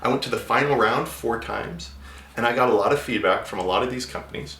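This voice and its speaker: A man's voice: medium pitch, fairly quick, bright, forceful tone, roomy sound. A man giving casual, conversational job-search advice in a vlog, often restarting his sentences. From native English speaker. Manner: conversational, many restarts and filler words.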